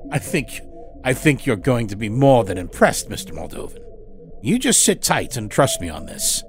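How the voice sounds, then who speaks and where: A man's voice: medium pitch, big pitch swings, normal speed, faint music behind, close to an everyday voice. A man playing a smug, jovial doctor in a horror audio story, patronizing and coaxing as he talks. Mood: amused, boastful. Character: smug, jovial doctor running a sinister dream machine.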